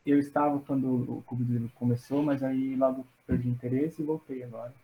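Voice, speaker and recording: A man's voice: medium pitch, steady tone, fairly quick, lo-fi sound, noisy background. A young man with a Brazilian accent, a student introducing himself casually to a club. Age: young adult. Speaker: book club member, engineering student.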